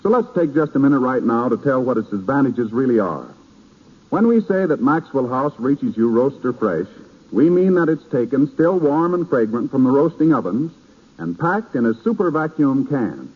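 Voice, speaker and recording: An American man working as a radio announcer, reading a scripted 1930s advertising pitch in an emphatic, polished salesman's delivery. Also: sing-song, moderate pace, slightly rough. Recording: tinny band-limited 1930s radio broadcast.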